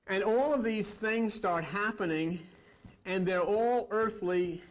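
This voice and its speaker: A high-voiced man speaks as a spiritual teacher. He lectures in a sermon style, stressing key points through repetition and putting rhetorical questions to his listeners. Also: slow, slight background noise, low-quality recording. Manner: emphatic, repeats phrases for effect, rhetorical questions. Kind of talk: esoteric sermon lecture to an audience.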